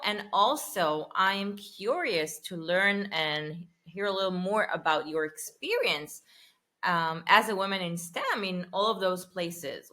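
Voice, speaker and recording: A woman hosting a podcast, enthusiastic and often searching for her words as she asks her guest questions. Her voice sits a little low. Her tone is sharp and bright. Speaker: podcast host. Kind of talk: podcast interview.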